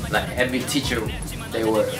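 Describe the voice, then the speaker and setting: An adult man whose first language is Korean, chatting casually as an interview guest, with a relaxed, halting manner full of fillers and restarts. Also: mid-range voice. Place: small dark studio room, indoors. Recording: roomy, distant sound with music behind.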